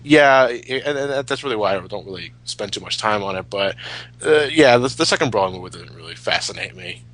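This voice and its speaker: Guy doing casual fan commentary, bright voice, super opinionated, swears a lot, close dry sound. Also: on the low side, lively intonation, brisk, no echo, poor audio. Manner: opinionated, profane, emphatic. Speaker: commentary host.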